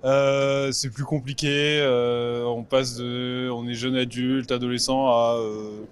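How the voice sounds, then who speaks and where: A young man stopped on the street answers in a joking, rambling way. His voice sits at a middle pitch. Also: little pitch movement, slow pace, clear, resonant tone. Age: young adult. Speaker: passer-by.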